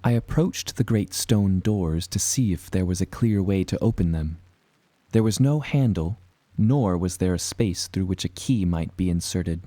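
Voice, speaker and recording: A man narrating a scripted first-person adventure story in a measured, dramatic way. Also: deep voice, warm tone, no echo.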